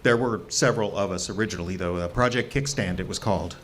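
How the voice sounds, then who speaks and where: An actor playing an anxious, secretive hermit scientist in an audio drama, halting and stammering as he pleads. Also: lowish voice, a bit bright, a little hiss or hum, natural voice. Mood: flustered, evasive.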